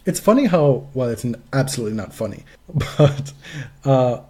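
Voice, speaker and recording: A man with a relaxed, mellow timbre and middling pitch talks casually as a sports podcast co-host. He states his opinions emphatically and swears freely. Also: moderate pace. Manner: emphatic, frequent profanity.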